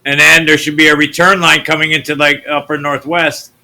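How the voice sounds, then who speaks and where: A man from the US Midwest with a naturally deep voice, hosting a channel and talking loosely through his screen, trailing off into mutters. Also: level tone, a little fast, gravelly, sharp tone. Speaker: channel host. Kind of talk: rambling screen-share commentary.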